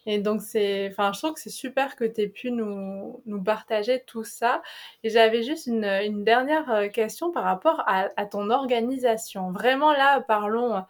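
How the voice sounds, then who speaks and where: Chatty, slightly high-voiced woman host. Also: moderate pace. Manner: chatty, enthusiastic, casual. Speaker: podcast host. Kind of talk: podcast interview.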